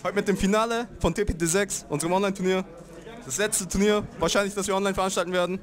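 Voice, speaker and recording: A man's voice: sits high, fairly even intonation, fast talker. A young man, commentating a card game tournament in a laid-back, slangy way.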